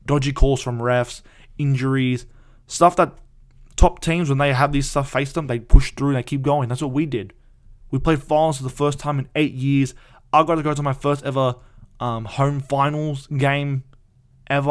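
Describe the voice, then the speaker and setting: Eager young man, smooth voice. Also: mid-range voice. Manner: excited, rambling, frequent restarts and fillers. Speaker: fan podcast host. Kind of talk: casual solo podcast review.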